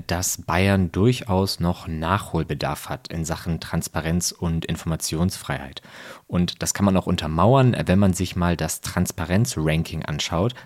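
Podcast host guy, super deep voice, calm and tidy explaining. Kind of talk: interviewing and scripted narration.

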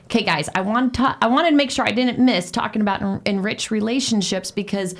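A woman's voice: medium pitch, fairly quick. Woman interview host, upbeat, affirming, casual chat. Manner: enthusiastic, affirming, lots of "I love it". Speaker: podcast host.

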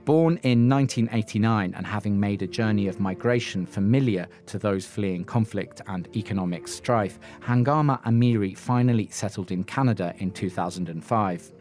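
A man hosting a podcast, reading scripted narration in a measured way, then interviewing a guest. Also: lowish voice, a little mellow, soft background music. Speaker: podcast host.

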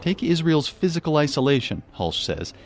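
A man narrating a radio news report in a measured, scripted delivery. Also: a little high, big pitch swings.

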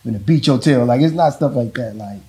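Deep voiced dad just chatting, kinda rambly, warm mellow tone. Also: male voice, quick pace. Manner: relaxed, rambling, repeats "you know what I'm saying". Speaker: father in a couple interview. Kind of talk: casual talk about parenting.

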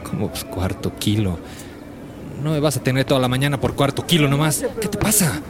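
A man's voice: mid-range voice, very animated, fairly quick, lightly acted. Pushy Bolivian market butcher over street noise, acted by a man. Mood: bullying, impatient. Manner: singsong vendor calls, bullying, wheedling, slangy. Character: gruff, pushy, crooked market butcher hawking meat. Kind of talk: radio drama acting. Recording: clear outdoor street noise behind.

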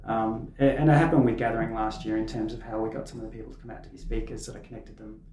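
A man's voice: on the low side, flat delivery, very fast, darkish tone. A man chatting casually and anecdotally on a podcast, rambling and restarting his sentences. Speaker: podcast speaker, event organiser. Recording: echoey room.

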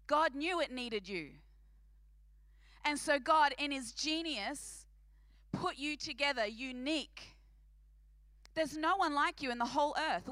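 A woman's voice: sits high, sharp tone, dry recording, studio quality. A woman with an Australian accent preaching to a church congregation in a big, emphatic voice, repeating phrases for effect. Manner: big, projecting voice. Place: church service.

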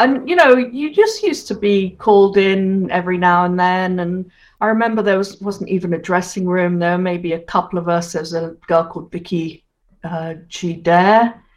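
A woman in her sixties from Hong Kong, chatting as a guest in an interview and reminiscing about her career. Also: fairly low pitch. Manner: chatty, reminiscing, quick to laugh. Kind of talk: casual interview.

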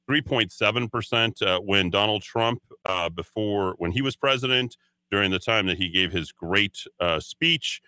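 A man's voice: lowish voice, normal speed, bright, forceful tone, clear, resonant tone, no room sound. A man hosting a talk radio show, opinionated, reading items aloud and mocking them, taking calls. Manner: opinionated, reads quotes aloud and mocks them. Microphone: studio broadcast mic.

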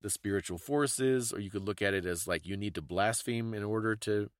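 A man with a fairly low voice talks as a podcast co-host, explaining folklore conversationally. His phrasing is thoughtful and a little halting, and the sound is dry with very little room. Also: no echo.

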